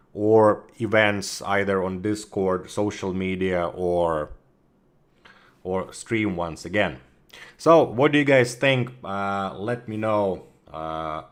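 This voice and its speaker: A man with a slightly hoarse voice from a cold, chatting casually with viewers on a livestream, full of fillers and restarts and clearing his throat. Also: low-pitched. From Russia. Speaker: community manager of a game studio.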